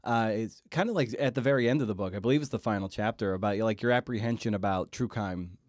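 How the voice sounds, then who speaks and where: A man hosting a podcast, chatting casually and circling haltingly toward his questions. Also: lowish voice, darkish tone, no echo, clean studio sound. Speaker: podcast host, interviewer. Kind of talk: casual conversational interviewing.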